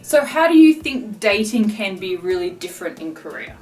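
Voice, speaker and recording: A woman hosting a casual, chatty vlog in an animated storytelling way. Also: medium pitch, normal speed. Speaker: vlog host. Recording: roomy, distant mic with faint music.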